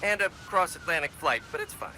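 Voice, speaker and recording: A man's voice: fairly high pitch, lively intonation, brisk, sharp tone, a little hiss or hum, poor audio. An actor playing a friendly young male superhero mentor in a video game, breezy, joking and encouraging. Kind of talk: video game cutscene acting.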